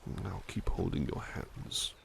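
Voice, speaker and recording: A man playing a devoted, polite butler in an ASMR roleplay, speaking tenderly and hesitantly, with soft reassurance. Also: a little slow, slight background noise, natural voice. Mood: tender, sleepy. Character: devoted, gentle, polite male butler companion.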